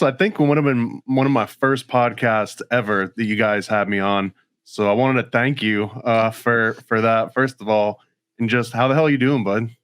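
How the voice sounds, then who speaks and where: A man's voice: mid-range voice, a bit bright, smooth, no room sound. A man hosting a podcast, chatting casually as he interviews. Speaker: podcast host.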